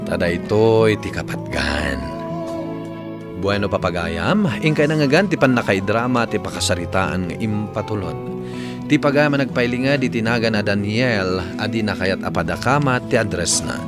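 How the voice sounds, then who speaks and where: Male radio drama host, Ilocano accent, deliberate emphatic announcer reading over a music bed. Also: fairly low pitch. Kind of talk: scripted radio advice and credits announcing.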